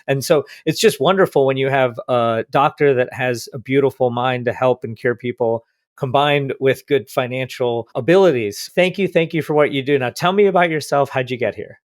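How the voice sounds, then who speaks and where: A man's voice: medium pitch, normal speed, no room sound, studio quality. A man hosting a podcast interview, talking in an enthusiastic, effusive way. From India. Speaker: podcast host.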